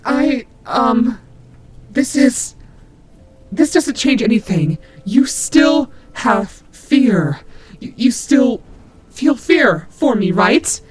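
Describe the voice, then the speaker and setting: A woman voicing a menacing nightmare rabbit demon who is unsettled and paranoid, speaking haltingly in an audio roleplay. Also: on the high side, very animated, noisy background, full character voice. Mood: uneasy menace. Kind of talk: scripted audio roleplay acting.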